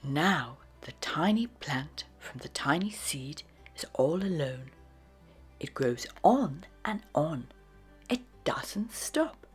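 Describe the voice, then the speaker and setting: A woman narrating a children's storybook in a dramatic, expressive read-aloud style. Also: sits low, slow, husky, faint music behind. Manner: dramatic, with hushed phrases and sudden exclamations.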